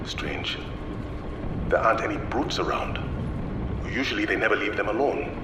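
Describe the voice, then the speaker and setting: A man's voice: on the low side, moderate pace, echoey room, distant mic, background noise, close to an everyday voice. A man playing an earnest, curious middle-aged scientist father in a game audio drama. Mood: intrigued, hushed.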